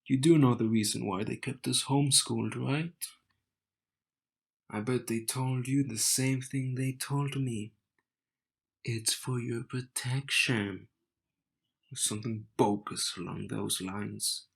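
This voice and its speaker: A man acts out a young betrothed man in an intimate audio roleplay. He talks slowly at a middling male pitch, wry and smug, with a bitter edge under the teasing. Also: fairly even intonation, gravelly, husky, exaggerated voice. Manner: slow, teasing, smug, with sighs and soft chuckles. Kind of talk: intimate scripted audio roleplay addressed to the listener.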